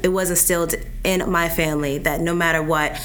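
A woman's voice: lowish voice, steady tone, fairly quick, a bit bright. A woman in her twenties, a grad student, talking thoughtfully and casually in a group discussion and laughing easily.

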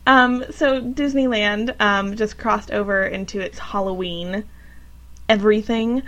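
A young woman in her twenties co-hosting a casual podcast, gushing in an upbeat way with soft laughs. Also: mid-range voice, fairly even intonation, unhurried, highs cut off. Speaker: podcast co-host. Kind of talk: casual chat.

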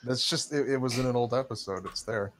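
Young dude, normal pitch, dry deadpan teasing on a podcast. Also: male voice, brisk. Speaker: podcast co-host. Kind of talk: casual banter among friends.

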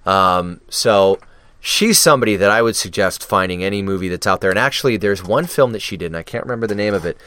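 Deep, smooth-voiced man rambling casually. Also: quick pace, fairly bright tone. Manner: rambling, many fillers and restarts. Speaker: podcast guest, radio DJ and actor. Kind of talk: casual interview chat.

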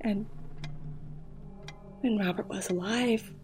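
An actress playing a grieving, fragile mother in an audio play, with halting, emotional delivery. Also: mid-range voice, darkish tone, faint music behind, natural voice. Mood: sad, loving. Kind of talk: dramatic audio play acting.

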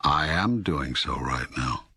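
An older man playing a patient, all-knowing God in an audiobook dialogue, speaking in a deliberate, emphatic, teaching manner. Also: rumbling low, lively intonation, pushed and bright, slightly rough, no echo, heavily acted. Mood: patient, explaining.

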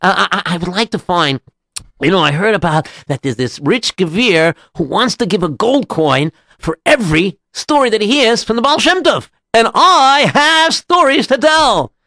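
An eager, earnest wandering rabbi, acted out by a male storyteller, pitched high and swinging widely. He stammers, gets excited easily and talks in a sing-song way, like a storybook hero. The sound is close and dry. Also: moderate pace, no room sound, full character voice. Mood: polite, hesitant.

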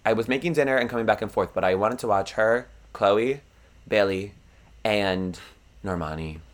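A man's voice: on the low side, normal speed. A young man with a froggy voice, co-hosting a podcast in rambling, self-deprecating casual chat and laughing often. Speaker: podcast co-host.

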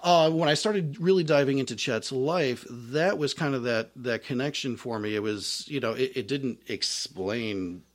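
A man's voice: moderate pace, few pauses. A man, a trumpet player and singer, telling his story in a casual interview with many fillers and restarts. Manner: says he has a higher voice.

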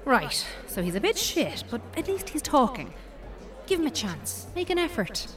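A woman's voice: high voice, huge pitch swings, moderate pace, soft background music. An actress playing the mischievous, sweary inner voice of a date-weary Irish woman in an audio drama. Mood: sardonic. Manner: sweary, sardonic.

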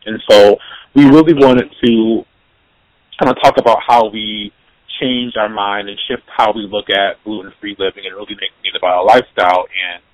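A man's voice: lowish voice, steady tone, normal speed, dry recording, low-quality recording. A man hosting a podcast interview, rambling casually with lots of fillers and restarts. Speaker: podcast host.

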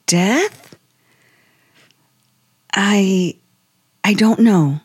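An actress playing a timid, polite young aspiring writer, halting and nervous, in 1950s radio suspense style. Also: female voice, mid-range voice, slightly rough. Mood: uneasy, thoughtful.

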